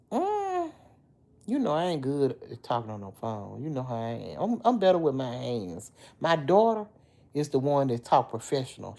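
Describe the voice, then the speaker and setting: Middle-aged woman, low deep dark voice, slightly hoarse, chatty livestream host. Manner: chatty, talks back to commenters by name. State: a little hoarse. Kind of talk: casual livestream chat with viewers.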